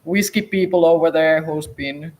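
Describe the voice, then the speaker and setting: A woman's voice: sits low, normal speed, darkish tone, slight background noise. A woman from Finland with a Finnish accent, chatting casually as a whisky expert at a tasting. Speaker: whisky distillery expert and guest. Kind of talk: casual tasting chat in English.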